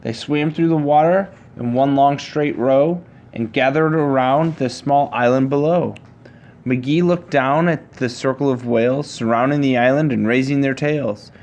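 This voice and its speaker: A man reading a children's picture book aloud to his child, with a measured, rhyming storybook delivery. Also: mid-range voice, darkish tone, dull audio.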